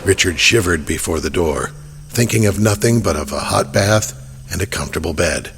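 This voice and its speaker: A man's voice: low-pitched, moderate pace, gravelly, a bit bright, a little hiss or hum. A man narrating a horror audio drama in a scripted storytelling style.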